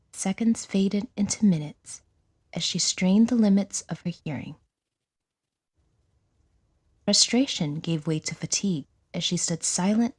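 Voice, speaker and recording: A woman narrating a scripted audio drama in a measured, dramatic storytelling manner with a breathy voice. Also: fairly low pitch, darkish tone.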